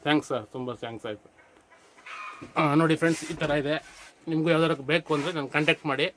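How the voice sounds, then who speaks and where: A man's voice: a little high, fast talker, fairly bright tone, a little hiss or hum. A man presenting a casual vlog as host and audio installer.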